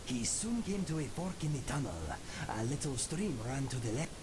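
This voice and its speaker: A man's voice: medium pitch, big pitch swings, moderate pace, noisy background, natural voice. A man voicing a proud, affable cat-man adventurer in a fantasy game, telling stories theatrically and formally with whispery suspense. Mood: hushed suspense. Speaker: companion character in a fantasy game. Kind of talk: theatrical storytelling in the third person.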